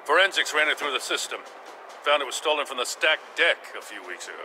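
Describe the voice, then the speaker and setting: A man's voice: a little high, expressive, fast, noisy background, close to an everyday voice. An actor playing a weary middle-aged veteran policeman in a video game, plain-spoken and dry. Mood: inquisitive, businesslike. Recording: music underneath.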